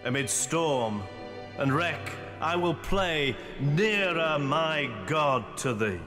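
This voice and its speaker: A solemn preacher speaks in a long, churchlike reverb over music. His delivery is slow and oratorical, pitched a little high for a man, as in a radio drama eulogy. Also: expressive. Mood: solemn resolve. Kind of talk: radio ballad drama, funeral oration.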